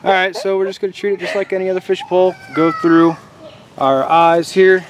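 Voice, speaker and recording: A man's voice: high-pitched, brisk. Laid-back man demoing gear in the woods. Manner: relaxed, chatty, laughs easily. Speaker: outdoors channel host. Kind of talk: casual how-to demonstration. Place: outdoors in a leafy forest. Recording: faint outdoor background noise.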